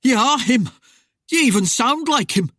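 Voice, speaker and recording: A high, croaking, frightened rustic man. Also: animated, normal speed, a bit bright, no echo, studio quality. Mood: terrified, pleading. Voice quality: squeaky croak when afraid, high-pitched. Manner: rustic dialect, bellowing. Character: frightened, blustering rustic murderer husband.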